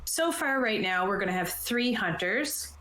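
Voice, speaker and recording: Female stream host, mid pitch, casual and a little hesitant; roomy echo, faint noise. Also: lively intonation, moderate pace, a crowd in the background. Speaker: podcast host and game keeper. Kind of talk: casual chat on a livestream.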